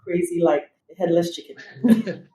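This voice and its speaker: A middle-aged woman talks through her career in a relaxed, conversational way, with light laughs and fillers. Her voice is mellow and warm, and the room gives it a clear echo. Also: fairly low pitch, a little slow. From Nigeria. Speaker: emergency physician, guest. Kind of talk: casual interview answers. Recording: echoey room.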